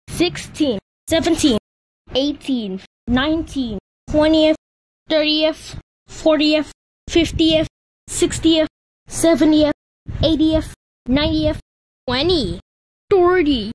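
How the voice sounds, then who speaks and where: A woman reading scripted elevator announcements in deliberate, separated words and short phrases. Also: high-pitched, a little mellow, a little hiss or hum, rough recording.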